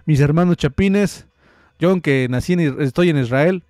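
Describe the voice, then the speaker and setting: A man's voice: a little high, normal speed, darkish tone, dry recording, studio quality, natural voice. A man voicing an eager young footballer who pledges himself with brotherly slang. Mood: fired up.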